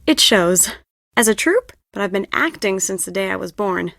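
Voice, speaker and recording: A woman voicing a stressed, dramatic young stage director in an adventure game, expressive and confiding. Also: medium pitch, moderate pace, gravelly, no echo, clean studio sound. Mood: brisk, friendly. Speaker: video game voice actor. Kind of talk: adventure game dialogue acting.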